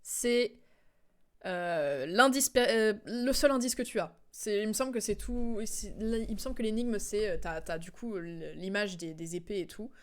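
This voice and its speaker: A woman's voice: mid-range voice, a little slow. A young adult woman giving casual, slangy commentary on a game stream, with a playful, teasing manner.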